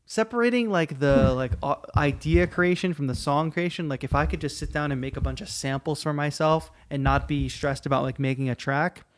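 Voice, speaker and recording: A man's voice: on the high side, brisk, few pauses, clean tone. A man hosting a livestream, giving casual, rambling feedback on listeners' beats. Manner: loose and chatty, many likes and restarts. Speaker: stream host. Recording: music loud behind voice. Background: music playing.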